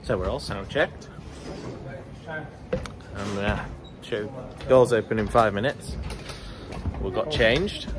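A man's voice: fairly low pitch, takes it slowly, long pauses. A man in his twenties vlogging casually to a handheld camera while on tour as a musician, chatty and jokey. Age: 20s. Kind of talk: casual vlog narration. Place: van, hotel room and small venue. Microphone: phone or camera on a handheld grip. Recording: noisy handheld phone audio.

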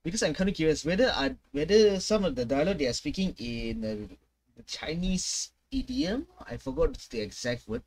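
A man's voice: fairly high pitch, big pitch swings, moderate pace, a little mellow. A man in his twenties giving casual reaction commentary, often hesitant as he thinks aloud. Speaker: gaming reaction video host. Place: small room at home.

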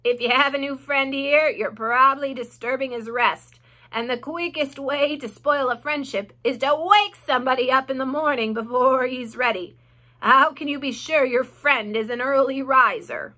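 A woman's voice: sits high, sharp tone. A woman voicing a stern old sheep in a storybook, scolding with scornful emphasis. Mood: disgusted, scolding. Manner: scolding, slow emphatic reproof.

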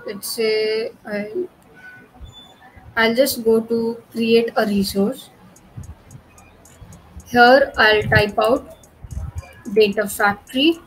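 A woman's voice: fairly high pitch, fairly even intonation, very slow, long pauses, fairly bright tone, faint music behind, low-quality recording. Woman explaining cloud steps, filler-heavy. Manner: frequent "okay" and "you know" fillers, patient explaining. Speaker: cloud tutorial presenter. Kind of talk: screen-share technical walkthrough.

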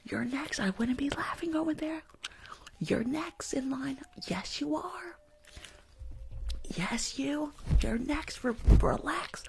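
A woman's voice: high voice, slow, vocal fry, noisy background, exaggerated voice. A woman playing a rude, unqualified school nurse in an ASMR roleplay, bossy and mock-reassuring, repeating herself. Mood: bossy, teasing. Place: small indoor room.

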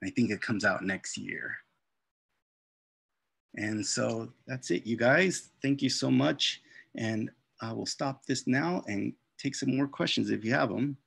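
A middle-aged man, an author and illustrator, casually presenting his artwork on a video call with an unhurried, halting delivery. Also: mid-range voice, vocal fry, a bit bright, husky, no room sound. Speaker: children's book author and illustrator. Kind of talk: informal slide presentation of artwork.

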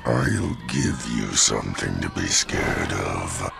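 Menacing game goblin, heavy growling creak, slow, theatrical taunts, loud music behind. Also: male voice, a bass voice, animated, exaggerated voice. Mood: taunting. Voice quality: gravelly, guttural. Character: monstrous male goblin crime lord, gloating and menacing.

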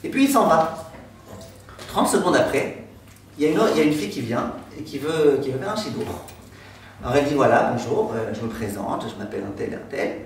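A man in his thirties, a rabbi giving a lively storytelling lesson and joking with his class in a classroom. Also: mid-range voice, fairly quick, a little hiss or hum. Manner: animated, gestures broadly, jokes with the class. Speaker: rabbi teaching a Torah class. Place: classroom with a decorated board, indoors. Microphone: far camera. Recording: echoey room from far off.

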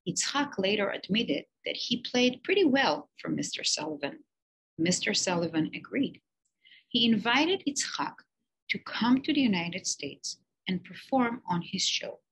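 A woman's voice: medium pitch, sing-song, a little slow, creaky, a bit bright, breathy edge, low-quality recording. A woman teacher reading a children's picture-book biography aloud, with lifted emphasis on key words.

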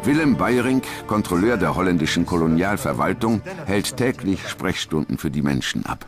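A man narrates a documentary in a very low voice. His delivery is grave and measured, with scripted drama. Clear music plays behind him. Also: expressive. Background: background music.

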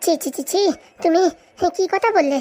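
A woman's voice: extremely high pitch, normal speed, mellow. A woman voicing a bossy, cunning young sweetheart in a comic cartoon, scolding and brash. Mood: indignant, scolding.

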